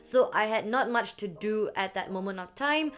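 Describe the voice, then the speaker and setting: A woman's voice: medium pitch, expressive, moderate pace, a bit bright. A young woman talking casually to camera about her work experience, filling her speech with "like" and "um". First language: not French. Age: young adult. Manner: chatty, many "like" fillers. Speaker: vlogger.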